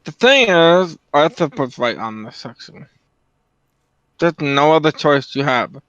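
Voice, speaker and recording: A man's voice: on the high side, animated, unhurried, fairly bright tone, no room sound, highs cut off. A man giving casual, opinionated commentary as a guest on a stream.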